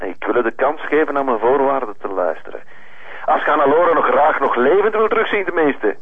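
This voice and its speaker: Smug flemish kidnapper in a crime drama, mocking n menacing, husky, very swoopy delivery. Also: male voice, medium pitch, lively intonation, phone-quality sound. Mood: controlled threat. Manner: mocking, mock-polite, drawn-out teasing. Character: taunting, menacing male kidnapper, smug and controlling.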